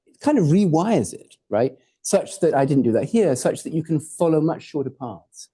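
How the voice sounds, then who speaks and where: A man lectures to a hall audience in a very dark, mellow voice. His delivery is loose and conversational, full of asides, jokes and the occasional curse. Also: on the high side, big pitch swings, normal speed, breathy edge. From born in Hawaii, raised in Portugal and England. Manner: informal, digressive, jokey, swears for effect. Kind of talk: academic talk with slides.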